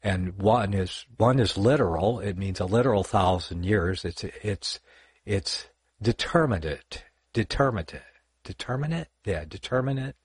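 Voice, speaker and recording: An older man talks through scripture on a casual livestream, wandering off and correcting himself as he goes. His voice sits very low with a husky edge. The sound is dry and close, with no room echo. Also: animated, measured pace. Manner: rambling, self-correcting, lots of fillers. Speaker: online Bible teacher.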